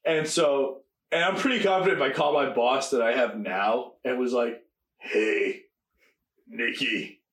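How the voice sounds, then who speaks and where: A young man co-hosting a podcast, telling stories in casual chat, quick and animated and swearing freely. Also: medium pitch. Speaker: podcast co-host. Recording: echoey room.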